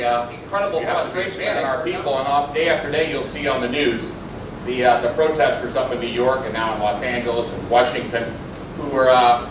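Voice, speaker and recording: A man addresses supporters with hesitant fillers between his lines. His voice sits slightly high. He is heard from a distance in a heavily echoing room, and a noisy rumble runs underneath. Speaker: party official speaking to supporters. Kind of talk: political speech. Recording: echoey room from far off, noisy.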